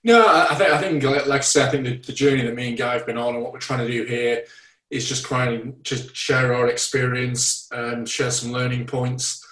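A man's voice: medium pitch, fairly even intonation, normal speed, a bit bright. A man co-hosting a startup podcast, chatting casually with a jokey, self-deprecating manner. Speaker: podcast co-host and startup founder. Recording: echoey, distant from the mic.

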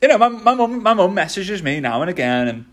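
Energetic motivational man in his thirties. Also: high-pitched, brisk. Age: 30s. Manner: energetic, repeats phrases for emphasis. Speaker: motivational podcast host. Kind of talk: motivational talk.

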